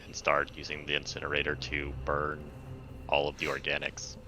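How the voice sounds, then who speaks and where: A man playing a tabletop roleplaying game, casually stating his moves, on a clearly noisy recording. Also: very deep voice, monotone, moderate pace.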